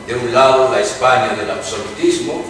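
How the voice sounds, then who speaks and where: A man's voice: mid-range voice, fairly even intonation, normal speed, fairly bright tone, lightly acted. A man playing an outspoken, indignant liberal citizen of Spain in emphatic radio-play dialogue, with a Spain accent. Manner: rhetorical, emphatic. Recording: echoey hall, distant, noisy room ambience.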